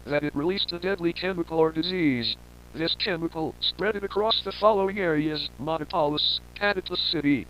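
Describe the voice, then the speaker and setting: A man voicing a news reporter who reads a grave emergency warning in a formal, measured way. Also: fairly high pitch, fairly bright tone, close to an everyday voice. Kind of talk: scripted emergency broadcast announcement. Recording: muffled lo-fi audio with noisy hum.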